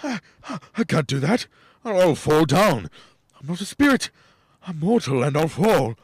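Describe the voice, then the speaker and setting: A man playing a nervous, crotchety old miser in a radio play, husky and faltering, with a theatrical manner. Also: fairly high pitch, big pitch swings, normal speed, no echo, studio quality, exaggerated voice. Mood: panicked.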